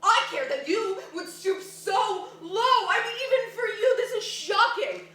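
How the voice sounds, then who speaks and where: A woman's voice: very high-pitched, lively intonation, measured pace, bright, forceful tone, exaggerated voice. A woman in a staged play reading, playing an exasperated, wounded middle-aged mother of a grown daughter. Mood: wounded fury. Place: echoey room at a staged reading, far mic. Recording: far mic, echoey noisy room.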